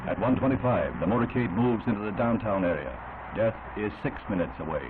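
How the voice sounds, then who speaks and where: A man's voice: lowish voice, expressive, brisk, background noise. An American man narrating a 1960s newsreel in a solemn, dramatic, formal oratorical delivery. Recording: tinny 1960s newsreel soundtrack, band-limited. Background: loud music.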